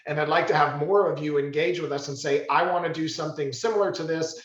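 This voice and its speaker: A man's voice: on the high side, a bit bright. Emphatic man in an echoey room. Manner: emphatic, halting restarts. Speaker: real estate office leader. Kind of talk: team meeting talk. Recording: roomy, dull meeting-room sound.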